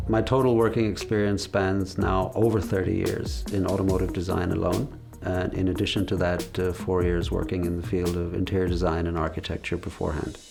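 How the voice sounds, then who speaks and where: A man in his fifties speaking in a measured, reflective way with frequent hesitations, giving a documentary interview about his career as a car designer. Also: deep voice, flat delivery, gravelly, music in the background. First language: English.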